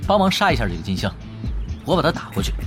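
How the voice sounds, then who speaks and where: 语调跳跃、吊儿郎当的游戏高手. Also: male voice, on the high side, very animated, racing. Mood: cajoling, cheeky. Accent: Mainland Chinese Mandarin. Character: cheeky, nonchalant, scheming male master gamer. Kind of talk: audio drama acting. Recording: long reverb, music behind.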